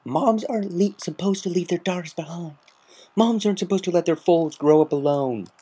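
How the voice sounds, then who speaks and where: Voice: mid-range voice, moderate pace, dark tone, slightly rough, voice pushed up, lightly acted. A reader performing a brash, proud cartoon pegasus mare, defensive and hurting underneath, in a dramatic fan fiction reading. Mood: anguished, pleading.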